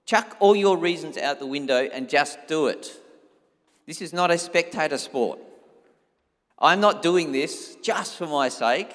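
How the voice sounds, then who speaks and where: A man with a strong, loud voice, preaching an informal, conversational sermon with an emphatic, urging manner. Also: a little high. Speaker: pastor. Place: church hall, amplified by sound system. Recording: echoey hall with long reverb.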